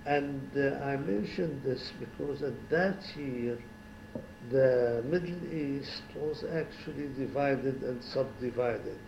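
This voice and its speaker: A man in his seventies from Syria, a former ambassador giving an oral history interview at home, talking slowly and reflectively with pauses and restarts. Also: medium pitch, gravelly, a little mellow, roomy sound, lo-fi sound, a little hiss or hum. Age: 70s.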